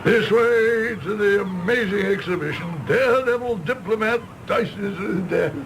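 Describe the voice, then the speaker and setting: An actor playing a self-deprecating, hard-drinking older doctor in a radio drama, wry, theatrical and hesitant. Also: male voice, high-pitched, unhurried, creaky, noisy background. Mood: wry, jocular. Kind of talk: British radio drama acting.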